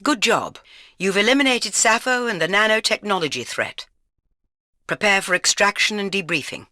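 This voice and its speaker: A woman's voice: mid-range voice, lively intonation, moderate pace, bright, forceful tone, slight background noise. A woman playing a stern British spy chief, giving clipped, formal orders as video game mission briefings. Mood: stern, composed.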